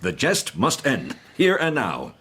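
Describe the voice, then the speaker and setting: Indignant upper-class father, husky, commanding, formal, animated pitch, period radio drama. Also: takes it slowly, exaggerated voice. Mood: stern, pressing. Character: stern, indignant upper-class father questioning young ladies.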